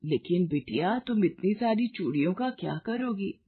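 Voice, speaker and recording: A woman acts out a poor old mother who sells bangles, kind and frail. She speaks gently and humbly, with affection, as in a storybook drama. The sound is narrow, like a phone line. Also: medium pitch, animated, moderate pace, breathy edge. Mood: gentle, humble. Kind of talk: acted dialogue in a moral story.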